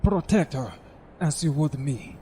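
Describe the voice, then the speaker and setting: An actor playing a dying, gentle old man with a raspy voice and halting, solemn delivery in an audio drama. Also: fairly high pitch, expressive, a little hiss or hum. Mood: gentle, earnest. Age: older. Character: dying gentle old man who loves his pets.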